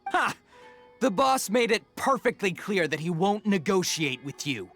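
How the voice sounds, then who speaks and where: A man's voice: high voice, very animated, measured pace, fairly bright tone, exaggerated voice. A man playing a menacing, boastful mercenary zealot in a video game, with a theatrical, sneering delivery. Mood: angry, threatening. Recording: music behind the voice.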